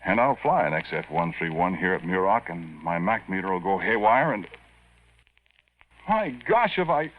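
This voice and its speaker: A man's voice: low-pitched, very animated, normal speed. A man playing an earnest, bewildered Air Force pilot, deferential and plain-spoken, with an American accent, in 1940s radio acting style. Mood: weary, baffled. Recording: muffled old radio broadcast.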